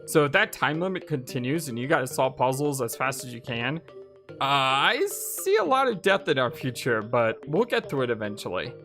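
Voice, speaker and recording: Low-voiced woman narrating a game playthrough. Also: big pitch swings, moderate pace, fairly bright tone. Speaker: let's play host. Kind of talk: casual gaming commentary and read-aloud narration. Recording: game music behind. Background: video game music.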